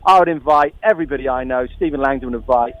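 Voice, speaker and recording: A man's voice: medium pitch, rapid-fire, fairly bright tone, highs cut off, slight background noise. A man talking confidently about a possible fight, boastful and casual. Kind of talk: casual sports talk.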